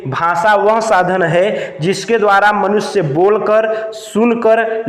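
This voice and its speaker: Male exam coach, high-pitched, explains point by point with repetition, recorded in a reverberant echoey room. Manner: repetitive, asks questions and answers them himself. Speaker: teacher of a Hindi exam-prep channel. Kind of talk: lesson explained point by point for note-taking.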